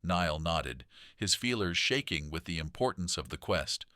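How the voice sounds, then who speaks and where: Deep-voiced, animated male storyteller. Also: a little slow, no echo, studio quality. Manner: dramatic, animated delivery. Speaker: children's story narrator. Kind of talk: expressive scripted read-aloud storytelling.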